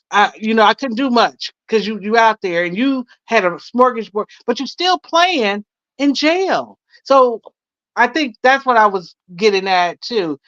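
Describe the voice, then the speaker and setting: A woman's voice: a little high, lively intonation, a little slow, fairly bright tone, no room sound. An American woman in a casual debate, speaking colloquially and insistently as she restates her point. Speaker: panelist on a live stream. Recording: dull lo-fi stream audio.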